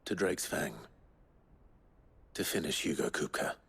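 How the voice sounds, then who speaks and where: A man's voice: deep voice, measured pace, a bit bright, slightly rough, full character voice. A man playing a brooding, determined warrior in a video game cutscene, speaking in terse, understated lines. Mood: grim resolve.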